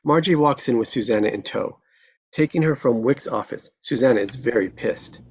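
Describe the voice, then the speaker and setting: A narrator reading screenplay stage directions at a table read, in scripted present-tense narration with a husky voice. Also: medium pitch, moderate pace, low-quality recording.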